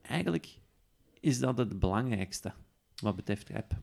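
A man's voice: lowish voice, lively intonation, unhurried, vocal fry, darkish tone. A man with a Flemish accent giving a casual spoken walkthrough as a presenter, explaining step by step. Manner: step-by-step explaining, echoing the screen reader. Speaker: podcast presenter demonstrating an app.

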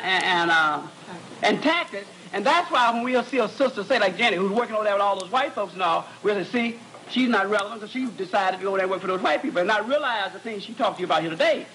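High bright-voiced man lecturing animatedly. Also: slight background noise, low-quality recording. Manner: animated, repeats words while searching, laughs and jokes with audience. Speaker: psychologist lecturing at a conference. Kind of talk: lecture to students.

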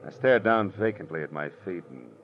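An American actor playing a cocky, wisecracking private detective in 1940s radio noir, with wry, slangy, clipped delivery. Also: male voice, low-pitched, lively intonation, brisk. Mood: brooding narration. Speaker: lead actor and narrator. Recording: dull, noisy old radio recording.

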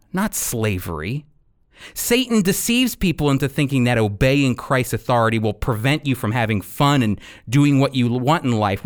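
A man preaching an expository sermon as a Bible teacher, with emphatic, deliberate delivery and dramatic pauses. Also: mid-range voice. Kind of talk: expository sermon with scripture readings.

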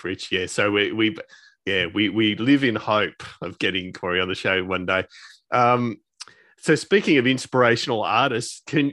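A man's voice: lowish voice, a bit bright, no room sound. A man co-hosting an interview show in a casual, conversational way.

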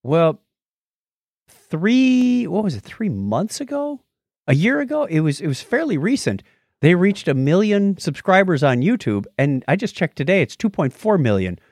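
A man's voice: high voice, very animated, moderate pace, a little mellow, dry recording, clean studio sound. A man hosting a podcast in a casual, playful and enthusiastic chatting style. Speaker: podcast host.

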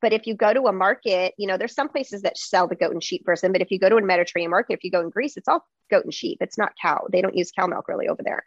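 A woman host in a casual conversation, chatty and animated, with lots of fillers. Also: medium pitch, very fast, hardly any pauses, no echo, lo-fi sound. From United States.

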